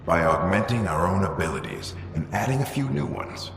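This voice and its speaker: Game military officer: deep, firm, defiant, persuasive, in a reverberant space. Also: male voice, expressive, normal speed, vocal fry, fairly bright tone, heavily acted. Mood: insistent, driven. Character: driven, defiant military officer and visionary father. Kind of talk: video game voice acting. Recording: echoey reverberant hall.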